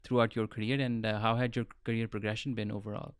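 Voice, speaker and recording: Smooth-voiced male podcast host. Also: on the low side, little pitch movement, quick pace, no room sound, studio quality. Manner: hesitant, many fillers. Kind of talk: hosting an interview podcast.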